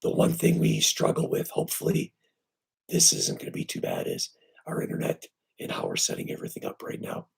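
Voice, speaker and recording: Hoarse, breathy man with a gravelly voice. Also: extremely low pitch, low-quality recording. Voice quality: weak, breathy, raspy voice from damaged vocal cords. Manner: halting, with many fillers and restarts, lively when greeting viewers. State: hoarse and short of breath while recovering. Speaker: live stream host. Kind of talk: casual live stream chat. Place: at home, live streaming. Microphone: computer microphone.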